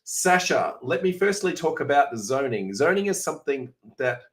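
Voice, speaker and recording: A middle-aged man with an Australian accent, hoarse and raspy today, hosting a casual question-and-answer session and chatting through answers with many filler sounds. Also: on the high side, big pitch swings, moderate pace. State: hoarse, coughing. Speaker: host answering viewer questions. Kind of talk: informal Q&A session.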